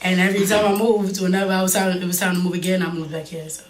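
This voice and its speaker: A woman's voice: fairly low pitch, racing, a crowd in the background. Laid-back New York woman, echoey room. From Harlem, New York. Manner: relaxed, rambling, casual slang. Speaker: guest, singer and dancer. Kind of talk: casual interview chat.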